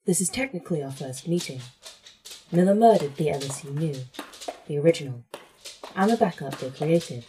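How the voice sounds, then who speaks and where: A woman voicing a composed, wry artificial intelligence in a creature's body for an audio drama, measured and husky. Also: low-pitched, animated, dark tone, slight background noise, poor audio. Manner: measured, dry, resigned.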